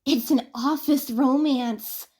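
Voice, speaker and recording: A woman's voice: high voice, fairly even intonation, slow, a little mellow, voice pushed up. A woman voicing a playful, dramatic college student with a mischievous streak, gushing and pleading theatrically. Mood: teasing, gushing.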